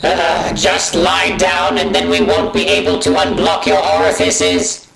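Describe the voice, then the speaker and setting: A man voicing a cowardly yet menacing Dalek war machine in an amateur sci-fi parody, gravelly and halting. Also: sits low, very animated, a bit bright, a little hiss or hum, pitched below the performer's own voice, heavily acted. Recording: echoey room.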